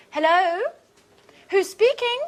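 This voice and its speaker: Actress as high-voiced, mischievous young woman. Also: exaggerated voice. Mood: mock polite. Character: mischievous, fun-loving young woman pulling a prank. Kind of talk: acted dialogue, scripted audio drama.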